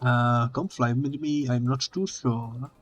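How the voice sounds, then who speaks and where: A man's voice: mid-range voice, fairly even intonation, slow pace, faint music behind. Man gaming in English as a second language. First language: not English. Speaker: co-op guest player. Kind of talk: casual gaming chat.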